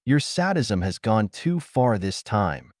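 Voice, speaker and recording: An indignant, easily shocked man from a pulp science fiction audiobook, acted out by a male narrator. He is incredulous and emphatic, low-voiced with big swings in pitch. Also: very animated, slow pace, a little mellow, no room sound, clean studio sound.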